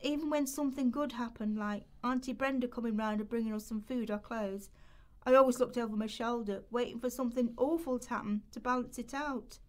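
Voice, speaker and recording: Radio play: woman as anxious young woman on benefits, high voice, confessional, worn down. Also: fairly even intonation, brisk, natural voice. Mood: despairing, confiding. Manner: confessional first-person storytelling. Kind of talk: rehearsed radio play monologue.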